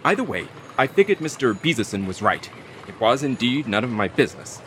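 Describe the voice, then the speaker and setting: A man's voice: medium pitch, big pitch swings, fairly quick, a bit bright, slight background noise. An actor playing a hapless, anxious delivery carrier who tells his creepy tale with wry, theatrical drama in an audio play. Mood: uneasy, suspenseful. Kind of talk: dramatic first-person narration in an audio play.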